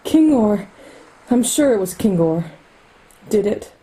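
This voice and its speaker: An actress in a radio play as an anxious, grieving lover, soft and husky, hesitant and sorrowful. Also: female voice, medium pitch, animated, takes it slowly, low-quality recording. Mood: grieving, firm. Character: anxious, grieving woman in love with a programmer.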